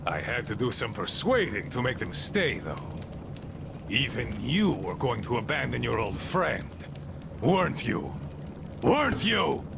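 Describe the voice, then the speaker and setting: An actor playing a bitter, commanding, eccentric old boatman in a video game, with a gravelly voice and a theatrical, grumbling way of rambling. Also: medium pitch, very animated, a little slow, noisy background. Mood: bitter, accusing. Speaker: game character, leader of a small river crew. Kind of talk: dramatic video game monologue.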